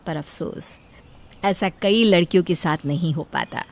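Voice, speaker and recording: A woman's voice: on the low side, unhurried. A woman narrator telling a family story in scripted narration.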